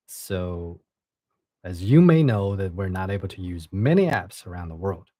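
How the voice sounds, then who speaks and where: Give me a man chatting on a livestream and reading aloud, halting and repeating himself. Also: low-pitched, sing-song, warm tone, no room sound, studio quality. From China. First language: Chinese. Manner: halting, repeats words and restarts. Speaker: livestream host and reader. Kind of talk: casual livestream chat and reading a novel aloud.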